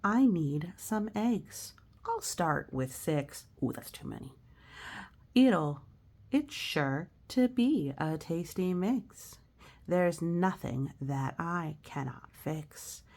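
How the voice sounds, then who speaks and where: A naughty young girl of a rhyming storybook, as a woman reads her aloud, guilty yet keen to fix things. She draws out each rhyme slowly and deliberately, with a gravelly edge to the voice. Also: on the low side, expressive, darkish tone, exaggerated voice. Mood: sheepish, hopeful. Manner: drawn-out, deliberate rhyming delivery.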